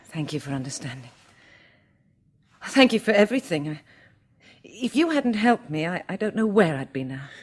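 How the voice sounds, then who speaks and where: An actress playing a genteel British woman surviving an apocalypse in a radio drama, expressive and a little hesitant. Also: on the low side, moderate pace, pauses often, slightly rough. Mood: tender, hesitant. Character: genteel, anxious but playful female apocalypse survivor.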